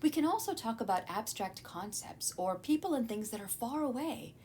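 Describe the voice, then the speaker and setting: A woman narrating a scripted educational video as a teacher, explaining clearly. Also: lively intonation, moderate pace, dark tone. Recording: noticeable low rumbling background noise.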